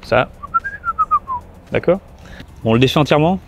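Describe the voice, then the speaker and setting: A man's voice: on the high side, expressive, normal speed, creaky, noisy background. A man giving a casual hands-on product tutorial, chatting informally to the viewer and thinking aloud as a gear reviewer.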